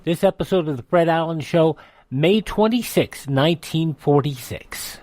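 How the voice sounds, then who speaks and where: A man's voice: on the high side, expressive, normal speed, darkish tone, no room sound. A man introducing an episode of a vintage radio show as its host. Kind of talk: program introduction.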